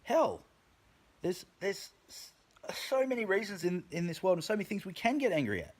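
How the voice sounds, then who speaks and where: A man's voice: high-pitched, animated, moderate pace. A man delivering a profane opinion rant to camera, emphatic and full of swearing, often stumbling and restarting his words. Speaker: vlogger.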